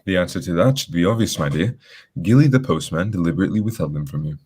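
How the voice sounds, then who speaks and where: A very low, husky-voiced detective acted by a man from a radio mystery. He is formal, deliberate and sure of himself, giving orders with authority. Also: animated, darkish tone. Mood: assured. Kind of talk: old-time radio drama acting.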